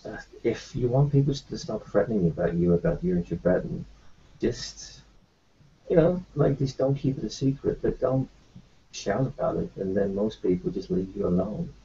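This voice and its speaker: A man's voice: fairly low pitch, expressive, moderate pace, gravelly, dark tone, a little hiss or hum. A man roleplaying in a tabletop game, talking in a rambling, hesitant way with many restarts and fillers, in a dull lo-fi recording. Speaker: player voicing his character in a tabletop game. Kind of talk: in-character roleplay talk.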